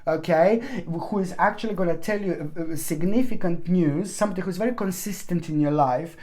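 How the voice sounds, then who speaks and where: A woman's voice: lowish voice, a little fast, a little mellow. A woman talks through a tarot reading with earnest emphasis. She repeats her points, trails into fillers and restarts, and calls her viewers fond pet names. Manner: earnest, repeats herself, addresses viewers with endearments. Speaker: tarot reader. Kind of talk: weekly tarot reading monologue to camera.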